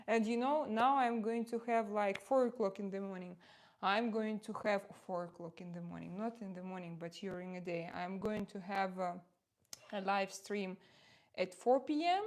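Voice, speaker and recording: A forty-something woman speaks English with a noticeable Russian accent, chatting at an easy, unscripted pace. She often pauses on fillers and restarts her sentences as she talks to her stream audience. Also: mid-range voice, a little mellow. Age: 40s. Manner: chatty, halting, many fillers, reads out viewer comments. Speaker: live streamer. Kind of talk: casual livestream chat while doing makeup.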